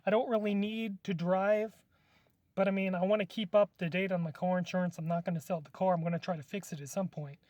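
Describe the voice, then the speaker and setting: A man's voice: sits high, steady tone, fairly quick, a little mellow, no echo. A man on a live stream, chatting casually and hesitantly, often restarting his words. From California.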